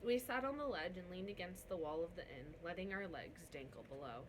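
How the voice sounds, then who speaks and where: A woman reading a novel aloud as a first-person narrator, in an expressive storytelling style. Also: fairly low pitch, fairly quick, background noise. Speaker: book reader.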